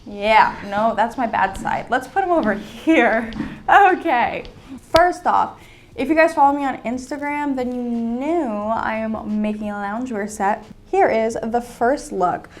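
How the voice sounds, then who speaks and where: A young woman with a slightly high voice talks through her plans in a casual, upbeat vlog style. She rambles warmly with plenty of "like" and "um" fillers. She mentions being a little sick, though she stays chatty. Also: a little slow, slight background noise. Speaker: sewing and fashion channel host. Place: indoors at home with sketchbook and iPad.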